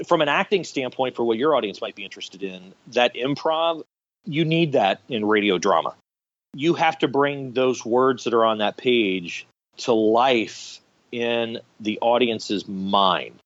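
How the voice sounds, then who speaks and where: A man's voice: medium pitch, unhurried, a bit bright, lo-fi sound. A man speaking as a radio theatre director in an interview, explaining things conversationally, step by step and with examples.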